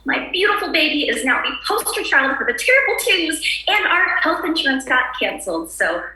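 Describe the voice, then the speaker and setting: A woman's voice: sits high, very animated, moderate pace, bright, forceful tone, full character voice. A woman acting a frazzled suburban housewife at a screenplay table read, insistent, nervous and desperately polite with brittle cheer. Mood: frantic, exasperated. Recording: very echoey room recording.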